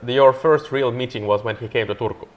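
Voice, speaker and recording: A man's voice: medium pitch, quick pace. A man hosting a live podcast interview outdoors by a river, talking in a friendly, enthusiastic way with frequent fillers. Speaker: podcast host.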